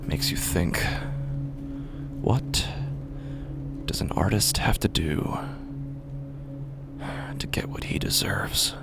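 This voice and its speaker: Man acting as a deep, gravelly, menacing street busker. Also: exaggerated voice. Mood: brooding, bitter. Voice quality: gravelly, breathy, often sinking toward whisper. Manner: slow, halting, brooding delivery with drawn-out pauses. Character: menacing, self-pitying male street busker drifting toward violence. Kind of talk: first-person horror audio drama monologue. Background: music under the voice.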